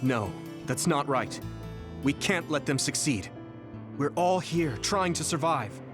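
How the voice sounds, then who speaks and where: Casting: resolute young game hero, fairly high voice, sincere and determined, over clear music. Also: male voice, lively intonation, slow. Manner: earnest, resolute. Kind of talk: English video game dub acting.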